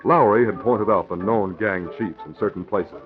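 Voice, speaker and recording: A man's voice: fairly low pitch, normal speed. A man giving scripted narration for a 1940s radio drama. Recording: muffled old radio recording with music. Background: music.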